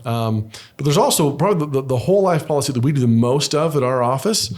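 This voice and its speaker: A man's voice: medium pitch, fast, a little mellow. A middle-aged man, an insurance broker co-hosting a chat, explaining things patiently step by step with examples.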